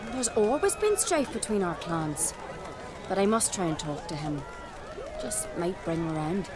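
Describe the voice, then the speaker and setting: A woman's voice: fairly low pitch, lively intonation, a little slow, a crowd in the background. An actress playing an earnest, resolute young warrior queen in a video game, with a solemn way of talking. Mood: thoughtful. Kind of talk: game cutscene acting.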